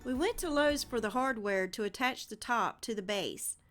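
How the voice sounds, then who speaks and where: A woman's voice: fairly high pitch, lively intonation, normal speed, a bit bright, faint music behind. A woman with a Southern American accent narrating a home DIY project in a friendly, step-by-step way. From Southern United States. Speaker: DIY channel host. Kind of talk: instructional voice-over narration.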